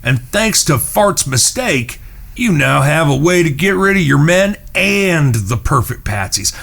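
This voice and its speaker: An actor playing a shrewd, menacing Old West gunslinger, speaking in a deliberate drawl in an audio drama. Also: male voice, on the high side, very animated, gravelly, fairly bright tone, heavily acted. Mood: knowing, accusing. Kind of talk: western audio drama acting.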